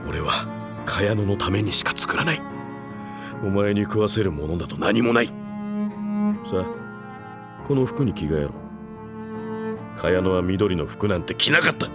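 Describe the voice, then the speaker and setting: Low, cold, obsessive tyrant. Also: male voice, expressive, moderate pace, pauses often. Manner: cold, insistent, commanding. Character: obsessed, domineering, cruel man tormenting a captive girl. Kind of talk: dramatic audio drama acting. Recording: loud string music over voice.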